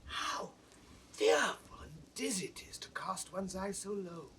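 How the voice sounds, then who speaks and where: A man playing a disguised young nobleman, tender and urgent, in theatrical classical Shakespearean acting that moves between hush and exclamation. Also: high-pitched, lively intonation, measured pace, whispered. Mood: urgent, persuasive. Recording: distant, noisy 1960s studio drama recording.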